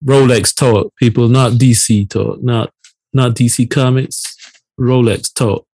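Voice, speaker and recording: A man's voice: medium pitch, fairly even intonation, unhurried, a little mellow, husky, no echo. Late-thirties man from Barbados, dry close sound. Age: late 30s. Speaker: podcast co-host. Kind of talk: casual podcast chat.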